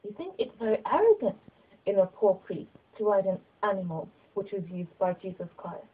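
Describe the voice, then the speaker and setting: A woman voicing a kindly, humble old bishop in an audiobook, speaking in an earnest, measured way with gentle persuasion. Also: mid-range voice, sing-song, narrow, tinny audio. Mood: gently self-justifying. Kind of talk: audiobook character dialogue.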